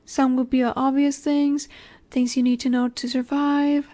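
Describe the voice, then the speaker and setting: A woman playing a dominant, nurturing snake-woman monster in an intimate roleplay audio, speaking in a gentle, coaxing way that often sinks toward a whisper. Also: sits high, flat delivery, measured pace. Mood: gently firm.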